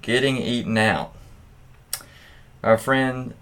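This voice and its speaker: A man with a mid-pitched voice reads stories aloud at a slow pace. His delivery is casual and crude, like a host who adds candid asides as he goes. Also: fairly bright tone, clean tone. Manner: crude, swearing, candid reactions.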